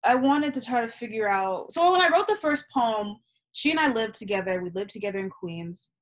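A woman's voice: on the high side, fairly quick, poor audio. A young woman poet, a native Yoruba speaker, talking candidly and animatedly about her writing over a video call from a small room at home. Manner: animated, gesturing, candid with swearing. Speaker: poet, interview guest. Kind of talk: casual reflective conversation. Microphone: laptop webcam on video call.

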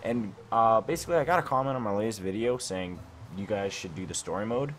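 A man's voice: on the low side, fast, slight background noise. A young man casually commenting on his own game session at home late at night, rambling and thinking aloud with lots of filler words. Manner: rambling, self-questioning, lots of "like" and "I don't know". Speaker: gaming YouTuber. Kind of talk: casual let's-play commentary. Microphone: desk mic.

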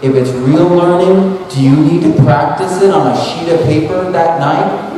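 A man's voice: a little high, normal speed, noisy background. Casual man talking in an echoey hall. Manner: rambling, casual, full of "like" and restarts. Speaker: speaker. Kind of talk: conference talk. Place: large hall at an expo. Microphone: handheld mic. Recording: echoey hall recorded from far off.

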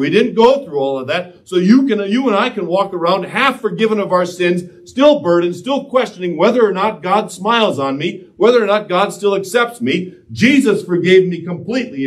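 A man in his thirties preaching a sermon in a church sanctuary, swinging between loud impassioned rises and hushed quiet passages. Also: a little high. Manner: gestures widely while preaching standing before the altar. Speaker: pastor. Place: church sanctuary, mid-sized, indoors. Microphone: far camera, no handheld mic. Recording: speaker distant from the mic.

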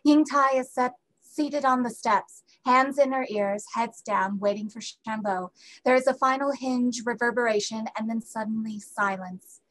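A woman's voice: fairly high pitch, unhurried, low-quality recording. A woman reading stage directions aloud as scripted narration at a play reading. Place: indoors at home on a video call.

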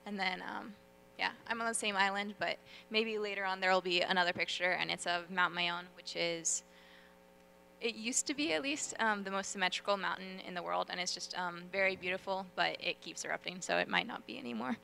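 A woman's voice: medium pitch, fairly quick, creaky, pushed and bright, slight background noise. A young woman from the Philippines on an auditorium stage, chatting cheerfully about her home. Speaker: student panelist.

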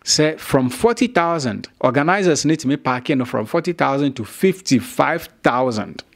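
A man's voice: medium pitch, expressive, moderate pace. Ghanaian man hosting showbiz commentary. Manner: mixes Twi and English, talks straight to viewers. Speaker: showbiz news host. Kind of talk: direct-to-camera commentary. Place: small indoor studio. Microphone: studio mic on stand.

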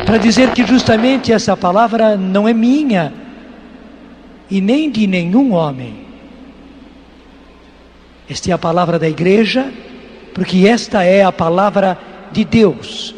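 High-voiced Brazilian preacher in reverberant hall. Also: male voice, expressive, a little mellow. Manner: deliberate, repeats phrases. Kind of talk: preaching and testimony to listeners. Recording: reverberant hall with background noise.

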